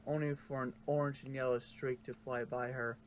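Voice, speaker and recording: Guy reading out fanfic narration, average pitch, bit of background noise. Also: little pitch movement, normal speed, poor audio. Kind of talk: amateur fan fiction narration.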